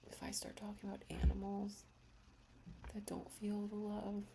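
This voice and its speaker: A young woman speaking in a soft, slow whisper, reflecting emotionally in a personal ASMR-style video. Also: mid-range voice, monotone, gravelly, slight background noise. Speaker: ASMR creator.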